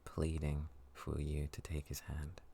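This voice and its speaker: Very deep, gravelly male ASMR narrator. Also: completely flat delivery, unhurried, dark tone, slight background noise. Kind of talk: soft ASMR story narration.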